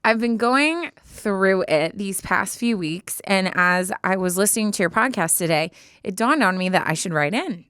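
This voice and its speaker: A woman's voice: medium pitch, expressive, moderate pace, fairly bright tone, smooth, no room sound, clean studio sound. An American woman co-hosting a podcast, speaking in an informal, slangy, casual way. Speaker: podcast co-host. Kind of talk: casual banter and reading a listener letter aloud. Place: podcast studio.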